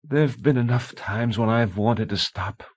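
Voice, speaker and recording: A man reading an audiobook, voicing a frantic young wife who secretly practices witchcraft, shrill as she pleads and accuses. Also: moderate pace, husky, natural voice. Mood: defeated.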